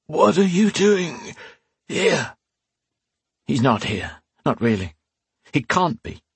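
A man voicing a wounded, delirious young man, raspy and out of breath with pain. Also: a little high, sing-song, takes it slowly, no echo, voice pushed up, full character voice. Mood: desperate. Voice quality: raspy, wheezing, strained.

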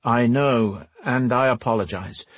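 A man's voice: mid-range voice, a little slow, no echo, muffled recording, pitched above the performer's own voice, lightly acted. A man voicing a suave, formally courteous male rogue with an amiable manner in an audiobook. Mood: conciliatory. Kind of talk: audiobook character dialogue.

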